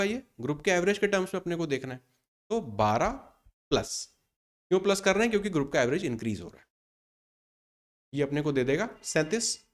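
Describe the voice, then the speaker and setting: A man in his thirties teaching an exam-prep maths lesson in Hindi mixed with English terms. He explains step by step and answers his own questions. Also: high-pitched. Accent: Indian Hindi with English terms mixed in. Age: 30s.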